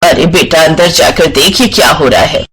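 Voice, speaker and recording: A man voicing an elderly village mother-in-law who is weary, scheming and given to complaint, in an animated moral tale. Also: a little high, normal speed, slight background noise, pitched below the performer's own voice, natural voice. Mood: weary, instructing. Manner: melodramatic, lamenting. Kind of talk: Hindi animated moral-story acting.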